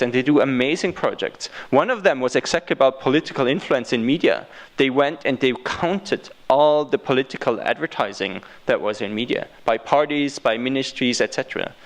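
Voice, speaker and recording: A man from Austria with an Austrian accent, speaking as a guest and answering questions unscripted, often repeating and restarting his phrases. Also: mid-range voice. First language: German.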